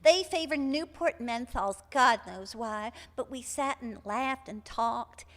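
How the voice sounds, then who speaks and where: An actress plays a sweet, unfazed older woman phoning a radio show in a comic stage play. Her voice sits high and she rambles in a homespun, gossipy way. The sound rings with the reverb of a hall. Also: takes it slowly. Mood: cheerful, gossipy. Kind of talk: comic live radio theater acting.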